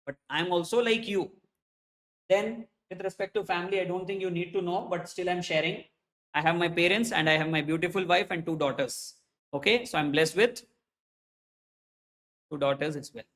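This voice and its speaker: Chatty Indian man teaching, high voice. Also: moderate pace, pauses often, fairly bright tone. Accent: Indian English. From India, Hyderabad. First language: Hindi. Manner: chatty, checks in with "okay?" constantly. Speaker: chartered accountancy teacher. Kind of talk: classroom self-introduction.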